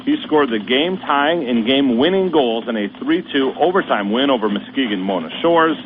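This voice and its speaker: Man reading sports news on radio. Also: mid-range voice. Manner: steady, measured announcer delivery. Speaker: radio sports show host. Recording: tinny radio broadcast with faint hiss.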